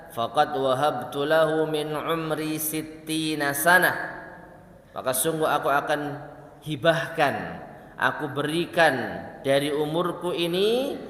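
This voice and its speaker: A man's voice: fairly high pitch, a bit bright. Echoey male preacher in a hall. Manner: recites Arabic slowly, then explains it in quick asides. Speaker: Islamic preacher. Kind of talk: religious study lecture.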